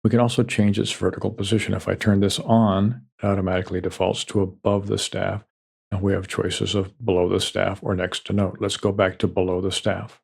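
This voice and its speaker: A man giving a calm, methodical, step-by-step software tutorial over a screen recording. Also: deep voice, a little fast, creaky, husky.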